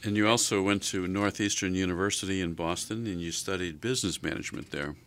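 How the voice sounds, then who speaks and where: A man's voice: sits low, fairly even intonation, fast, fairly bright tone, clear, resonant tone. A man hosting an interview, restating what the guest said and asking leading questions. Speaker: show host.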